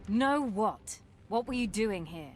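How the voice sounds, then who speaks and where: A woman's voice: mid-range voice, expressive, slow, darkish tone, a little hiss or hum. An actress playing a sceptical, defiant female warrior in a fantasy game, with a direct, questioning manner. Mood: probing. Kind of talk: dramatic fantasy game acting.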